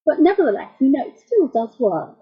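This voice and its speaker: A middle-aged woman lecturing as a translator, explaining jokes in a wry, measured way. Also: high-pitched, big pitch swings, narrow, tinny audio. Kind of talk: academic talk.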